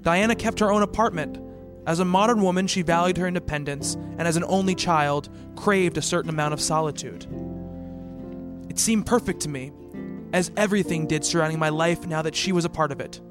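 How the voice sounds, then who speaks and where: Casting: male actor as bookish, devoted gentleman doctor, high-pitched voice, measured storyteller, radio drama over music. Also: natural voice. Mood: wistful narrating. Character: earnest, bookish male doctor, devoted fiancé narrating his tale. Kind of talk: radio play narration and dialogue.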